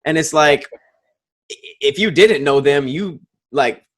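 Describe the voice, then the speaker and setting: Wry man with a high-ish voice. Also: a little slow. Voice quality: says he has a high voice. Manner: wry, incredulous reactions with frequent laughing. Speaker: podcast co-host. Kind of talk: casual comedic chat.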